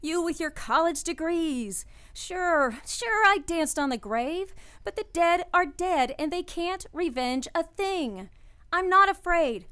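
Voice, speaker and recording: An actress playing a high-strung, defiant young working woman in a radio ghost play, agitated and emphatic. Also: lively intonation, slow, bright, forceful tone, exaggerated voice. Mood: frightened, defiant.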